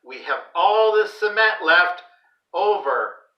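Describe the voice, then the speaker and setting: A man voicing a loud, blustering, excitable dad in a children's story, with emphatic delivery. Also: high voice, slow pace, muffled recording. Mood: eager. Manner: shouting, emphatic. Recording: roomy echo, distant microphone.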